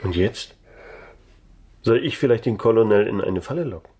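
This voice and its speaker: An actor playing a dry, disillusioned, stubborn private detective in a radio drama, laconic and deadpan in dialogue and narration. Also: male voice, fairly low pitch, normal speed, slightly rough. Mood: wary, challenging.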